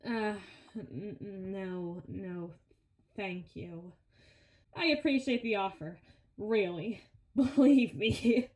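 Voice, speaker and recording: A woman's voice: medium pitch, slow pace, darkish tone, natural voice. A woman playing a brawny, sardonic henchwoman who grumbles and teases in an intimate audio roleplay, with a conversational, sarcastic delivery. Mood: flustered, teasing. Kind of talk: ASMR audio roleplay, intimate one-sided dialogue. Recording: roomy, echoing voice recording.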